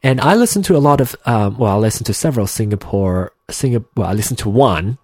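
A man's voice: lowish voice, animated, quick pace, darkish tone, breathy edge, no echo, studio quality. A man from Malaysia in his thirties, with an accent that mixes Malaysian and American English, hosting a solo podcast in an earnest, hesitant way with many restarts and fillers. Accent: Malaysian English mixed with American. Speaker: podcast host. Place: home room with a heater running.

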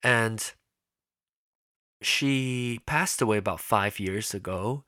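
A man's voice: lowish voice, slow pace, pushed and bright, dry recording, studio quality. A man hosting his own podcast, talking casually and rambling with many restarts and fillers. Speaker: podcast host. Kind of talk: casual solo podcast talk.

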